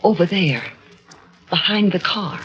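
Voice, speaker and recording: Casting: torn, nervous wife in vintage radio suspense, dull old recording, music underneath. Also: female voice, lowish voice, animated, measured pace, a bit bright, husky, exaggerated voice. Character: anxious, conflicted unfaithful wife drawn into murder. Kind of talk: 1940s radio drama acting. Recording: muffled vintage 1940s radio, music behind.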